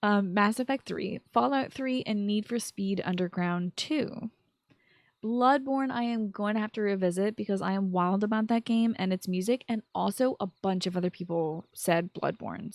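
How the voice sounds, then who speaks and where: A woman's voice: medium pitch, creaky, a little mellow, no echo. A woman with a mid-range voice hosts a show about video games. She is informal and enthusiastic, moving between prepared commentary and chatty asides. The sound is close and very dry, with hardly any room in it. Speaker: podcast host. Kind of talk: chatty video game podcast commentary, partly scripted.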